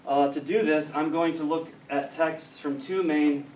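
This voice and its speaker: A man's voice: fairly high pitch, steady tone, a little slow, darkish tone, recorded from a distance. American male scholar, mid-range voice, dry explanatory lecture delivery; roomy recording with faint noise. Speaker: academic lecturer in biblical studies. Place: lecture room.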